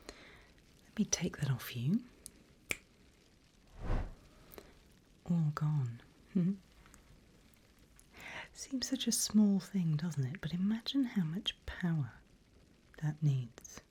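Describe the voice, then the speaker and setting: Breathy, whispering woman as a sly demon mentor. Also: low voice, very animated, heavily acted. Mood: teasing, sly. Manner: slow, teasing, deliberate, hushed. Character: seasoned female demon mentor, vain, teasing, darkly playful. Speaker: demon guide training a newcomer. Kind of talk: whispered ASMR roleplay.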